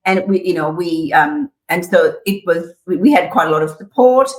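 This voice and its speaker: A woman's voice: fairly low pitch, animated, normal speed. A middle-aged woman from Sri Lanka, talking as a guest over a video call from her office, chatty with frequent um fillers and laughs. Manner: warm, chatty, laughs often, many um fillers. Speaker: guest, community organisation CEO. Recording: echoey room sound.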